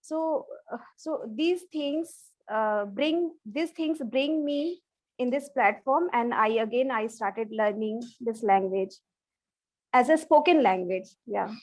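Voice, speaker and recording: A woman whose first language is Bangla, speaking English slowly and hesitantly on a video call, with many restarts and fillers as she practices. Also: a little high, lively intonation, low-quality recording. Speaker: English learner. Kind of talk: online English practice conversation.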